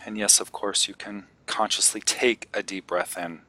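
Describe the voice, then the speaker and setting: A man leading a guided meditation, giving gentle, unhurried instructions with long pauses between phrases. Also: fairly low pitch, steady tone, low-quality recording.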